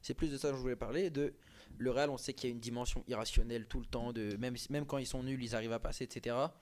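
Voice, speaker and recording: Male co-host, rapid, mid-pitched, insistent and interrupting. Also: fairly even intonation, a little mellow. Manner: insistent, interrupting, stat-citing. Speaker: podcast co-host. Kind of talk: casual football debate.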